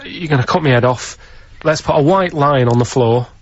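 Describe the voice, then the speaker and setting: A man casually telling a rambling anecdote on a radio show, halting and vague but earnest. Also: medium pitch, no room sound. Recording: dull lo-fi radio recording.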